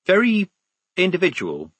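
Man acting a high-voiced, wary young soldier girl. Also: huge pitch swings, measured pace, a bit bright, slightly rough, dry recording, heavily acted. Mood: doubtful. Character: sensible, wary young woman soldier disguised as a lad.

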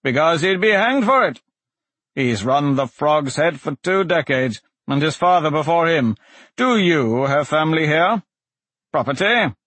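Man acting a stern, dry old magistrate, raised pitch. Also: expressive, a bit bright, dry recording. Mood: sardonic. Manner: measured, formal, pronouncing. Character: older grey-browed male magistrate, dry, stern, sardonic. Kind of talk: fantasy audiobook character dialogue.

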